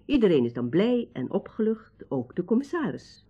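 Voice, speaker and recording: Confident helpful woman in a radio play, mellow and very animated, dull lofi recording. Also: fairly low pitch, normal speed, breathy edge, faint music behind, full character voice. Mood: explaining, assured. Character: confident, capable woman, helper of servants. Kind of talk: Dutch radio drama acting.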